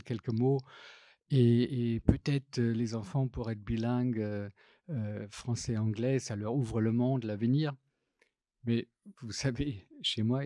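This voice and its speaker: A man speaks in a mellow, dark-toned voice at an ordinary pitch, the sound dry and close with no room around it. French is not his first language. He gives his public talk in a halting, thoughtful way and often pauses on fillers and restarts his phrases. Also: no echo. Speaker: visual artist and typographer. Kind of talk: public talk, telling an anecdote.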